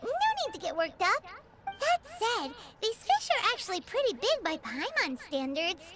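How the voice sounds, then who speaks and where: A woman's voice: very high-pitched, moderate pace. A woman voicing a tiny, chatty female fairy guide in a video game, talking about herself in the third person, with game music behind. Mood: explaining. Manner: chirpy, excitable, speaks of herself by name.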